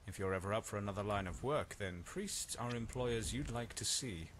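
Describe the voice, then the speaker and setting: Man playing a low-voiced, wry temple guardian. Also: normal speed, a bit bright, a little hiss or hum, close to an everyday voice. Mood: dry, matter-of-fact. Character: dutiful, wry male guardian of a blind priestess. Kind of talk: scripted fantasy game dialogue.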